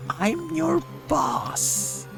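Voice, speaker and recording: A bossy, menacing woman in charge of a shop, acted by a woman game reader. The voice is pushed high and swings sharply with urgent command. Music plays behind it. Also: very animated, extremely slow, gravelly, full character voice. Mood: threatening. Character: bossy, frantic, menacing female shop boss.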